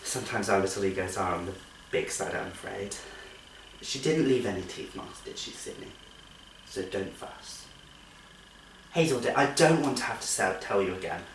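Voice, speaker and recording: A genteel nursery teacher played by a man in a theatrical comic monologue. The delivery is animated and singsong, coaxing sweetly, then scolding with thinly held patience. It is recorded at a distance in an echoey room. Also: deep voice, normal speed, creaky, pauses often, a little hiss or hum. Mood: strained patience. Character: genteel, strained-cheerful female nursery teacher, patient but exasperated.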